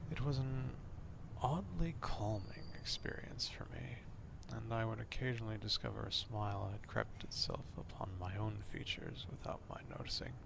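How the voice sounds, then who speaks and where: A man playing an obsessive, old-fashioned vampire stalker in an ASMR roleplay, speaking formally in a hushed half-whisper, close to the microphone. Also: on the low side, poor audio. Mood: obsessive, calm. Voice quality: hushed, breathy half-whisper with gravelly fry. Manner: slow, deliberate, formal archaic wording.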